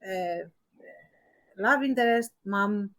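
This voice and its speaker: Lady on a podcast, normal pitch, casual takes, kinda halting and repeating words. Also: fairly bright tone. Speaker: podcast co-host. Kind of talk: casual opinionated discussion.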